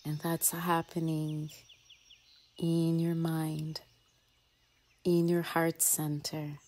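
A woman leading a guided meditation in a soothing, gentle manner, with soft breathy phrase endings and long pauses between short phrases. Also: deep voice, monotone. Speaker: yoga and mindfulness teacher leading a guided meditation. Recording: faint ambient noise with birdsong. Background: birdsong and nature ambience.

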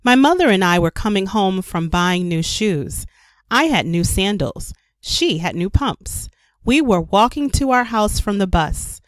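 A woman reading a children's story aloud as a storyteller, with careful, expressive narration for young children. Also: mid-range voice, unhurried, no room sound. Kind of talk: scripted read-aloud narration.

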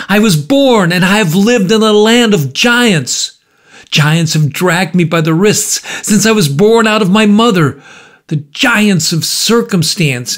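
Man voicing an anguished, high-pitched murderer. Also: fairly bright tone, full character voice. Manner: impassioned, exclamatory, rhetorical. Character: anguished male murderer, defiant, tormented, pleading.

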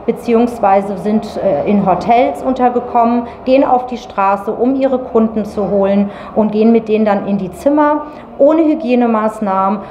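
Middle-aged female interviewee, serious statement, average pitch, echoey tiled room with faint noise. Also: normal speed, dark tone.

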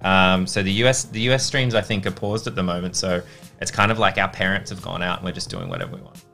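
A man's voice: low voice, animated, quick pace, vocal fry, sharp tone, faint music behind. A young man hosting a livestream, chatty and upbeat with many fillers, on a headset mic. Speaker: stream host. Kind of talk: casual livestream chat. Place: home studio with acoustic foam.

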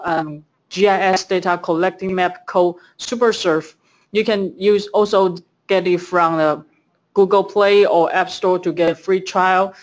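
Woman host, presenting webinar slides steadily. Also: fairly low pitch. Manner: reads lists from slides, some fillers.

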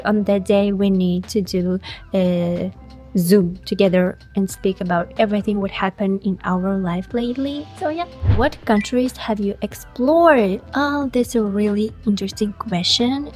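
A young woman from Kazakhstan, a Russian speaker, chatting in English through a casual question-and-answer vlog with a clip-on mic in a high-rise room. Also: measured pace. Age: young adult. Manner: chatty, animated, talks with her hands. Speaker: influencer. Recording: faint music underneath.

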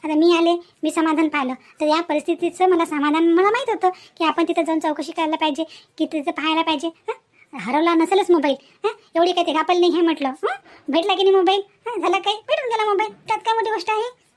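A woman plays a wise, moralizing cartoon aunt with a very high voice. She talks quickly in a Vidarbha Marathi accent, firmly driving each point home with repeated little tags. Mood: firm advising. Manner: preachy, emphatic, repeats herself with tag words. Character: moralizing village aunt, wise, chatty and firm. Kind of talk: cartoon moral-story dialogue.

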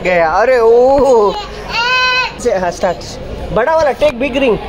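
A man's voice: extremely high pitch, lively intonation, unhurried, noisy background. A man, a father filming his small child in an indoor mall play zone on a phone, coaxing and cheering her on with short repeated words. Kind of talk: casual vlog commentary. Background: loud music in the play area.

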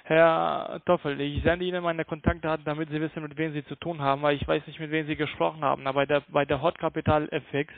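Man, gravelly, slightly high pitch, formal hesitant sales agent, persistent, thin phone line. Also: flat delivery, fast talker, hardly any pauses, no room sound. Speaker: cold-calling sales agent.

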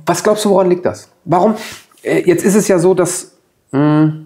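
Man, forties, from Germany, fairly high pitch, interview host, reflective questions, lapel mic. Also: moderate pace, dark tone. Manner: reflective questions with fillers and short reactions. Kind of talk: conversational interview. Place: room with plants at a table.

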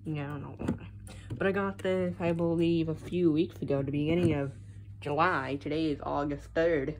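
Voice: medium pitch, fairly even intonation, normal speed. A hobbyist, heard as a woman, giving a casual, rambling, unscripted show-and-tell of a collection into a handheld camera. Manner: rambling, unscripted, often unsure. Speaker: hobbyist collector showing weather radios. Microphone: handheld phone or camera. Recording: loud background noise, handheld camera audio.

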